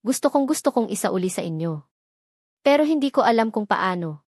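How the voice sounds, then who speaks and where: A woman's voice: medium pitch, animated, fairly quick, a little mellow, no room sound, clean studio sound, close to an everyday voice. A woman voicing a guilty, wealthy man in love in a story, pleading desperately.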